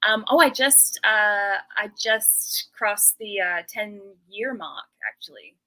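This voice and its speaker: A woman's voice: medium pitch, slow pace, bright, forceful tone, rough recording. An Australian woman in her thirties, a guest chatting casually and laughing often. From Western Australia. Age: 30s. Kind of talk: casual interview chat.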